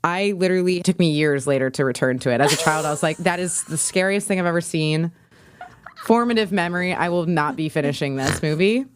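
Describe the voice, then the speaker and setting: A woman's voice: deep voice, brisk, a little hiss or hum. A woman chatting casually as a podcast co-host and quiz player. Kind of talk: casual chat.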